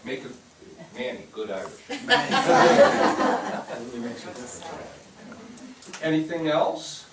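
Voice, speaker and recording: A man's voice: medium pitch, huge pitch swings, extremely slow, pauses often. Man addressing a theatre, distant and echoey. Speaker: theatre company host. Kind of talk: informal address to audience, inviting feedback. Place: indoor theatre stage. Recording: roomy theatre, distant mic, noisy.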